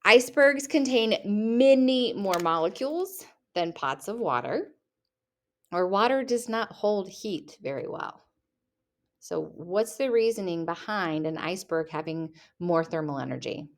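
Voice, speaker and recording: A woman's voice: medium pitch, lively intonation, measured pace. A young woman teacher reading test questions aloud and rephrasing them playfully for her students, recorded at her computer. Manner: reads each question, then rephrases it playfully.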